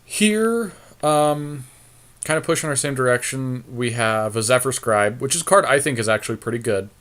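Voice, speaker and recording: A man's voice: mid-range voice, lively intonation, normal speed, fairly bright tone. A man with a mid-range voice talks through card picks as a podcast co-host. His delivery is casual and easygoing, as he tentatively works out his choices aloud. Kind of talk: casual card game draft commentary.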